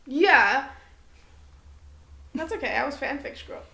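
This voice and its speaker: Bright, creaky, high-voiced young woman, lively and teasing. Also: a little slow. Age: young adult. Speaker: podcast co-host. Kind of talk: casual, teasing chat between best friends. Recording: roomy, echoey indoor sound.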